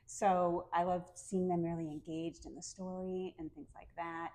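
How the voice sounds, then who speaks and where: A middle-aged woman with a New England American accent, a calm and soft-spoken podcast guest chatting casually and laughing often. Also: fairly low pitch, measured pace. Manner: friendly, laughs often, many fillers. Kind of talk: casual interview chat.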